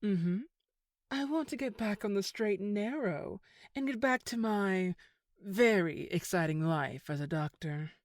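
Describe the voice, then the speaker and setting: Woman playing a slow, sardonic reformed femme fatale. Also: medium pitch, no room sound, clean studio sound, close to an everyday voice. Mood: dry. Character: unflappable reformed criminal ex-girlfriend turned informant. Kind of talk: audio drama acting.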